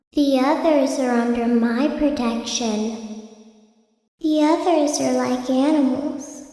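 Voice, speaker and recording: An actress playing an aloof, protective ghostly puppet in a video game, solemn and deliberate, in heavy reverb. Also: female voice, high voice, warm tone. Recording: heavy echo, long reverb.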